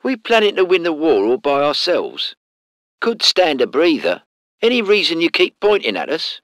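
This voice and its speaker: A man's voice: a little high, huge pitch swings, normal speed, fairly bright tone, dry recording, studio quality. An actor with a British accent voicing a cheeky, salty tank crewman in a war game, in slangy, clipped phrases. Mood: complaining, brash. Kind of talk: short scripted game unit responses.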